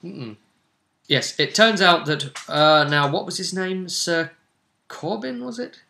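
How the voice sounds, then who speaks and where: A man's voice: high-pitched, takes it slowly, creaky, sharp tone. A man casually recapping a role-play game as the session's host.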